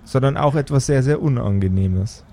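A man narrating an improvised fantasy tabletop game as game master, in a measured, searching way. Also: medium pitch, mellow, a little hiss or hum.

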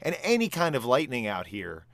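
A man's voice: medium pitch, wildly swooping pitch, normal speed, a bit bright, dry recording. A man co-hosting a podcast in casual chat, with a wry, rambling way of talking. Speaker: podcast co-host.

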